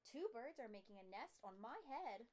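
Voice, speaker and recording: An anxious, exasperated elephant from a picture book, as a woman puts it on. The voice runs high with big swings in pitch. Every complaint is emphatic. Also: sing-song, normal speed. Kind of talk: picture-book read-aloud.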